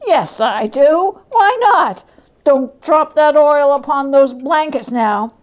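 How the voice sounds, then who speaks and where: A woman's voice: high voice, lively intonation, slow pace, lo-fi sound, pitched above the performer's own voice. A woman voicing a brazen, callous scavenger woman with a brash, scornful, colloquial way of talking, in a storybook reading. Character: brazen, callous scavenging woman selling a dead man's things.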